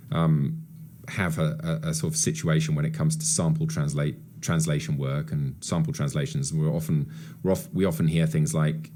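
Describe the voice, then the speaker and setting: A male moderator with a very deep, low voice runs a webinar question session. He introduces each audience question and responds to answers with affirming words, often repeating himself. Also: mellow. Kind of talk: hosting a Q&A, reading out audience questions.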